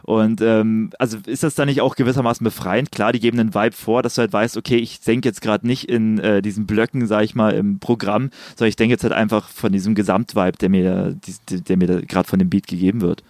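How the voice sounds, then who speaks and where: Guy interviewing, quick talker, rambly questions, dry close mic. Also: on the low side, steady tone, a little mellow, dry recording. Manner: long wandering questions, many "letztendlich" and fillers. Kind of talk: casual interview.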